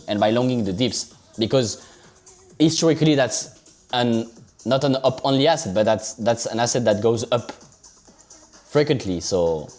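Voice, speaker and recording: A man with a French accent at a mid pitch talks his viewers through charts in a loose, chatty livestream. He keeps checking in with his audience and restarts sentences as he goes. Soft music plays quietly underneath. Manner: loose and chatty, keeps saying "so basically" and "okay?". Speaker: livestream crypto trader. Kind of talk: casual live trading commentary.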